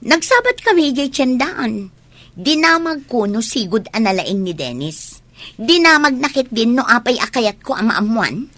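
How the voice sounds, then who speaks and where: A woman's voice: on the high side, big pitch swings, normal speed, a bit bright, no echo. An actress playing an inquisitive young woman in a radio comedy drama, with an Ilocano Filipino accent. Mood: explaining. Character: inquisitive young unmarried woman, politely probing. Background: music.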